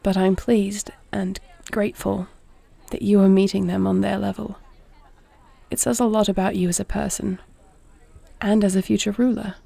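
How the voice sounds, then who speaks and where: A woman playing a battle-weary, caring warrior princess in an intimate audio roleplay, speaking softly and earnestly in a measured way. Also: mid-range voice, flat delivery, gravelly, dry recording. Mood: tender, grateful.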